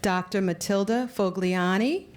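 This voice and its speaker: A woman's voice: medium pitch, a little slow, a little hiss or hum. A woman presenting at an awards ceremony, reading scripted announcements.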